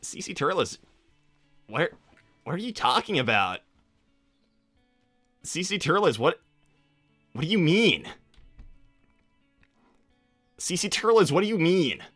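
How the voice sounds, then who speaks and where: A cocky teenage cartoon hedgehog, played by a man with a sharp, fairly high voice, chatting loosely on a livestream. He talks in a halting, casual slacker drawl and sounds easily exasperated. The sound is dry and close, with soft music behind. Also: big pitch swings, no echo, heavily acted. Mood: baffled, indignant. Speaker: livestream host answering viewer questions in character. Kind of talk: improvised in-character livestream chat.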